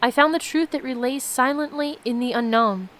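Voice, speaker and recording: A woman reading aloud the journal of a reflective, solitary man in his nineties, measured and solemn. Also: high-pitched, a bit bright. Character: reflective, solitary 92-year-old man's written journal.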